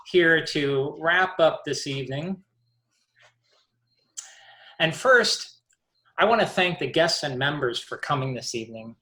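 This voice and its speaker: A woman with a low voice speaks over a video call, wrapping up a club meeting. She talks in a measured, announcing way, and the room around her is echoey. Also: fairly bright tone. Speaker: meeting officer, vice president of membership. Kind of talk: hosting a virtual club meeting.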